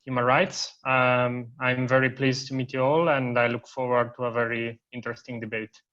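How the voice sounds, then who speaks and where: Italian guy, smooth resonant voice, formal intro, muffled call. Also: mid-range voice, little pitch movement, normal speed, fairly bright tone. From Italy. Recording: lo-fi video call audio.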